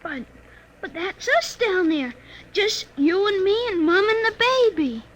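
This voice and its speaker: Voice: very high-pitched, animated, unhurried, a bit bright, full character voice. A child's voice playing an eager, polite, inquisitive boy in old radio drama style. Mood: puzzled. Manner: earnest, inquisitive. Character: eager, polite young boy on a family trip. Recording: muffled old radio recording, faint noise.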